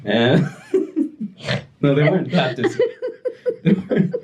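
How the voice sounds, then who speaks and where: A young man telling a story casually on a podcast, animated and breaking into voices as he retells it. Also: on the high side, takes it slowly, dull audio, a little hiss or hum. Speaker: podcast guest.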